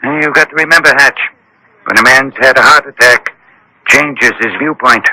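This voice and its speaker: Radio drama, measured sensible doctor, crisp forceful tone, explanatory, dry broadcast sound. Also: male voice, mid-range voice, no echo, rough recording. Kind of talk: 1970s-80s radio drama acting.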